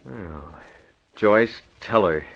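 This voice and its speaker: A masked vigilante of 1940s radio drama with a fairly low voice. He is cool, shrewd and unruffled, giving clipped orders. The old recording is dull and muffled. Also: extremely slow, gravelly, full character voice. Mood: cool, probing.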